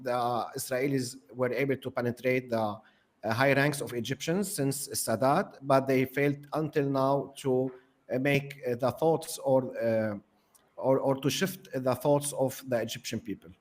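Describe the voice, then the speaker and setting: A man whose native language is Arabic speaks as a guest commentator on a talk panel. His delivery is hesitant, full of fillers and false starts, and his pitch is mid-range. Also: fairly even intonation, a little slow. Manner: hesitant, many fillers and restarts. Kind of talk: casual panel discussion.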